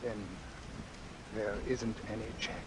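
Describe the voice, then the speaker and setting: An actor playing an anxious, doomed man in a vintage radio suspense drama, speaking in a hesitant, measured way. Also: on the low side, level tone, slight background noise, close to an everyday voice. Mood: uneasy. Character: anxious doomed man who arranged his own killing. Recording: vintage radio recording with music and rain. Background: music and rain.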